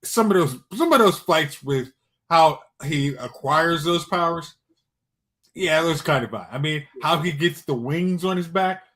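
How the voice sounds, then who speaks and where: A man with a slightly high voice speaks casually as a podcast panelist. He is hesitant and rambling, working out his thoughts as he goes. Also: animated, unhurried, a bit bright. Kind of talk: casual chat.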